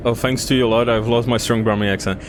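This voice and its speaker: A man's voice: medium pitch, fast talker. A man from Czechia streaming casual chat while driving, laid-back and given to chuckling and trailing off. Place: inside a car on the road. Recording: steady road and car noise. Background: car and road noise.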